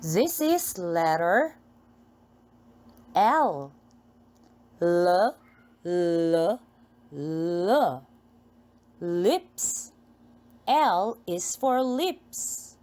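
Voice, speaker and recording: A woman with a Filipino accent teaching phonics to young children, enunciating letters and words in a deliberate, repetitive, sing-song way. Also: on the low side, big pitch swings, darkish tone.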